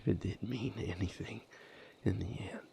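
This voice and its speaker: A man playing an anguished lover in an intimate romantic audio roleplay, speaking in a breathy, gravelly near-whisper with halting, fraught pauses. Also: fairly low pitch, slight background noise. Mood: anguished, weary. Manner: halting, hushed, fraught delivery with long pauses. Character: anguished male lover comforting and seeking comfort, vampire romance.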